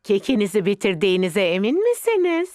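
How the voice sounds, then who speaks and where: A woman voicing a kindly, doting grandmother pig in a children's cartoon dub, with a gentle, sing-song manner. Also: medium pitch, huge pitch swings, fairly quick, dry recording. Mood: fond, cheerful.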